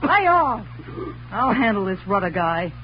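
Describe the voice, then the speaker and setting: Tough gangster's moll, muffled old radio. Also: female voice, mid-range voice, very animated, a little slow. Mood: bossy. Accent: American. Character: tough, sultry gangster's moll, slangy and scheming. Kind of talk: 1940s radio crime drama acting. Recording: muffled, noisy old radio recording with hum.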